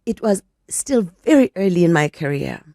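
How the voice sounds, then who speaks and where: A croaky woman in her sixties talks in a low, mellow voice, taking her time as she looks back. The sound is dry and close, with nothing of the room in it. She is a bit hoarse from a flu. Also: sing-song, no room sound. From South Africa. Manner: reflective, measured storytelling. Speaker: actress and guest. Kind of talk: radio interview.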